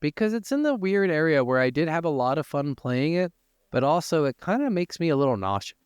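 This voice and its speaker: A man's voice: on the high side, very animated, fairly quick, clean tone, dry recording, studio quality. A man co-hosting a gaming podcast, giving blunt opinions in casual, informal speech. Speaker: video game podcast co-host.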